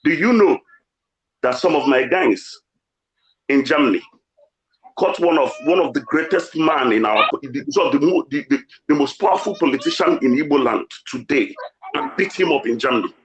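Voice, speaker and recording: A man's voice: on the high side, animated, moderate pace, low-quality recording. A man with a Nigerian accent taking part in a political discussion, eager and insistent, often repeating himself. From southeastern Nigeria. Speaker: discussion participant.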